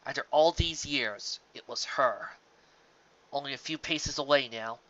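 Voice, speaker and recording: A man narrating scripted fiction in a dramatic, emphatic storytelling manner that moves between loud and hushed phrases. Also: mid-range voice, measured pace, lo-fi sound.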